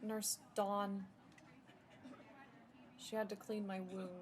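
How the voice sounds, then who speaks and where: A woman's voice: medium pitch, level tone, slow pace, a little hiss or hum, natural voice. A woman playing a wary, troubled young patient in a radio drama, guarded and doubting. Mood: evasive. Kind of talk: modern radio drama acting.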